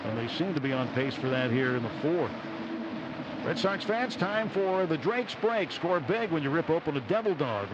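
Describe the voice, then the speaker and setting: A man with an American accent calling a baseball game live on radio, play by play, and reading short sponsor spots. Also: a little high. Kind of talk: live radio sports commentary with sponsor reads. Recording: dull radio broadcast with loud stadium noise. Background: stadium ambience.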